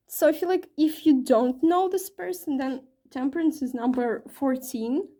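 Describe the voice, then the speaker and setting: Mellow-voiced woman reading tarot. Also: high-pitched. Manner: chatty, with many fillers and self-corrections. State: slightly strained voice from talking long. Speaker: tarot reader. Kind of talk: casual tarot reading to camera.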